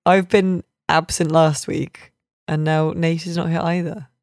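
A woman's voice: sits low, flat delivery, a little slow, a little mellow, no echo, clean studio sound. A British woman hosting a podcast in a casual, chatty way, with a jokey, teasing manner. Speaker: podcast host and games journalist.

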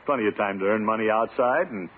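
An actor playing a pompous, easily flustered middle-aged uncle in a 1950s radio sitcom, with blustery, drawn-out exclamations. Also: male voice, on the low side, lightly acted. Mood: earnest. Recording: tinny, noisy old radio recording.

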